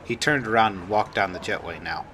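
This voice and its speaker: Male story narrator, lower-pitched, bright forceful tone, scripted reading, noisy recording. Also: brisk. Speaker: author reading own story.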